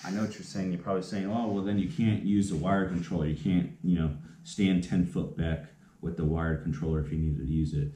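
A man's voice: low-pitched, fairly even intonation, a little fast, hardly any pauses, warm tone. A young man explaining a repair in a casual, rambling way, with many fillers and restarts, filmed in a garage on a camera mic a few feet away. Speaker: small business owner demonstrating a repair. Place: garage, indoors. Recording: echoey garage with faint noise.

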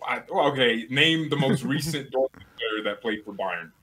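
A man's voice: medium pitch, expressive, normal speed, background noise. A young man in his twenties, a guest rival fan, bantering playfully and teasing on a video call from a small room at home. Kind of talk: casual banter.

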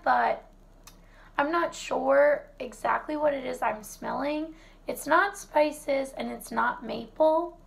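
Hoarse woman, very gravelly, high-pitched, unhurried chatty product-review vlogger. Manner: chatty, relaxed, many fillers. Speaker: wax melt reviewer. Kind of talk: casual product review vlog. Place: home kitchen, indoors.

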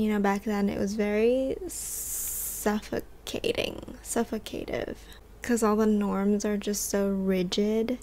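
A woman's voice: a little high, creaky, mellow. A young woman with a slight lisp chatting casually in a reading vlog. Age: young adult. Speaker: book vlogger. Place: bedroom, indoors. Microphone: desktop or handheld condenser mic, close.

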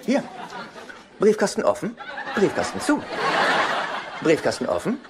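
Fussy effeminate brother, sitcom dub, eager to please, hugely varied pitch, loud background noise. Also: male voice, wildly swooping pitch, a little slow, a loud crowd, heavily acted. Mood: chirpy, earnest. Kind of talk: dubbed sitcom acting.

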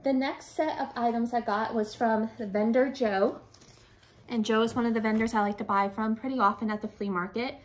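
A woman in her forties with a fairly high voice talks casually to her viewers in a home haul vlog. She sounds slightly out of breath and rambles, often correcting herself as she goes. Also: steady tone, a little fast, poor audio. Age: 40s. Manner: rambling, self-correcting, addresses viewers directly. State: a little out of breath. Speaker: reseller showing flea market finds. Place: furnished room at home, indoors.

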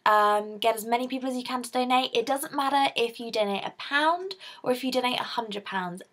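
A woman's voice: a little high, a bit bright. Bubbly young British woman on webcam. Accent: British English. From United Kingdom. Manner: bubbly and chatty, laughs often, gestures with hands. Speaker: vlogger raising money for a charity. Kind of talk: casual direct-to-camera appeal. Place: bedroom, indoors. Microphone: webcam in front of her.

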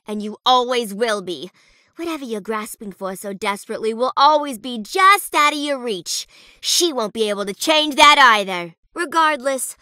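An actress playing a perky but sinister reaper nurse in a video game, sugary and polite with menace underneath. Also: female voice, a little high, pushed and bright, no room sound, studio quality. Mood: cold, threatening. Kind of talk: theatrical video game character acting.

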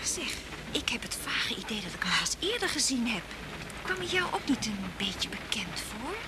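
An actress playing a chatty, nosy, excitable woman with a suspicious imagination in a Dutch radio play, inquisitive in manner. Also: on the high side, normal speed. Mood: teasing, chatty. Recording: very noisy old radio drama recording.

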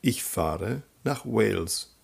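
Man, German teacher, husky low voice, very slow drill-style delivery. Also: animated. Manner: deliberate, repeats each sentence for learners.

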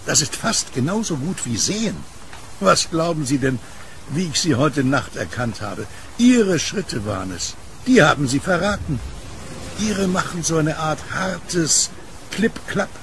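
Blind kiosk vendor, war veteran: gentle, lively range, gravelly edge, noisy outdoor ambience, horror radio play. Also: male voice, a little high, measured pace, heavily acted. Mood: calm, candid. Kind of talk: audio drama acting.

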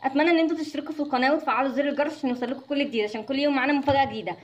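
A woman's voice: high-pitched, fairly even intonation, racing, muffled recording. A woman with an Egyptian accent casually narrating an unboxing for children. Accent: Egyptian Arabic. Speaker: host of a children's toy channel.

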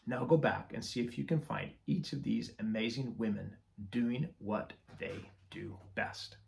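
A middle-aged man hosts a children's storytime with a teacherly, expressive delivery. He takes his time over each phrase, in a mid-pitched voice with a good deal of gravel in it. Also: a little hiss or hum. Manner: deliberate, teacherly explaining and expressive reading to children. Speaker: picture book read-aloud host. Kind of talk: children's storytime read-aloud, scripted. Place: small room with shelves of picture books.

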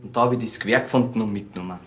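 A young actor playing a sullen, defensive schoolboy from the countryside, in a radio drama. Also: male voice, lowish voice, rapid-fire, fairly bright tone, faint music behind, close to an everyday voice. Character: sullen, defensive rural schoolboy suspected of shooting.

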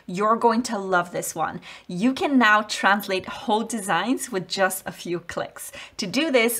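A woman in her thirties, a native Polish speaker, hosting an upbeat step-by-step design software tutorial on a headset mic in a home office. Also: mid-range voice. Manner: upbeat, friendly, walks through steps. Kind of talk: screen-recorded software tutorial.

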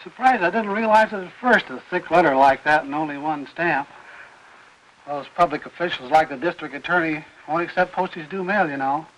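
A man's voice: on the high side, a little fast, fairly bright tone, muffled recording, close to an everyday voice. An older actor playing a talkative, fussy mailman in a 1950s film, rambling on in a folksy, hesitant, apologetic way. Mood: fussy grumbling. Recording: noisy vintage 1950s film soundtrack.

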